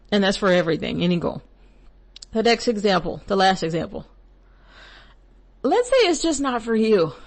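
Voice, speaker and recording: A young woman hosts her own podcast and talks through spiritual goal-setting advice in a loose, chatty way. She wanders into side comments and laughs at herself, and her pitch sits in a middle range for a woman. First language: English. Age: young adult. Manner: chatty and rambling, with frequent asides and laughs. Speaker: podcast host. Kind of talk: casual spiritual advice monologue.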